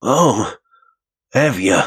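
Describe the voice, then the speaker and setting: A man reading aloud, voicing a shabby, nervous little male caretaker with a husky voice and rough grammar. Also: mid-range voice, animated, extremely slow, fairly bright tone, pitched above the performer's own voice, heavily acted. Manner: nervous, working-class grammar.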